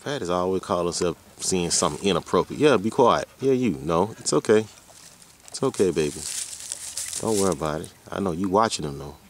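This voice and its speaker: Man around forty, very low pitch, casual rambling vlog, folksy phrasing, some outdoor background noise. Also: expressive, brisk. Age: about forty. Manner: folksy, colloquial, drifting into asides to his dogs. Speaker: vlogger. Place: outdoors on a hike. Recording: noisy outdoor ambience.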